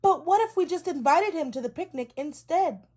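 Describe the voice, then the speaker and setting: A woman's voice: very high-pitched, expressive, normal speed, voice pushed up. A woman voicing a friendly, thoughtful young duck in a children's storybook, polite and enthusiastic. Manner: bright-spirited, polite, enthusiastic.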